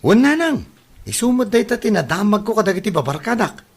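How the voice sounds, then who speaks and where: Lively, gossipy Ilocano daughter woman acting. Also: mid-range voice, brisk, husky. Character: gossipy younger relative answering mother. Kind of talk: Ilocano comedy drama acting.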